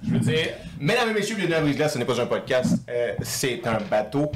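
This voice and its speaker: A man's voice: fairly high pitch, sing-song, fast. A man with a Québécois accent co-hosting a podcast, asking questions in a playful, teasing way. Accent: Québécois French. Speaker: podcast co-host. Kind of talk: casual chat. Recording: noisy background.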